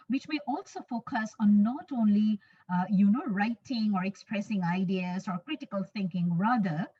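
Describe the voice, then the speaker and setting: A woman from Bangladesh with a Bangladeshi English accent, a native Bangla speaker, giving a measured conference webinar talk as an English teacher and repeating phrases for emphasis. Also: medium pitch, darkish tone, breathy edge. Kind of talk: webinar presentation. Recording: distant, muffled lo-fi mic.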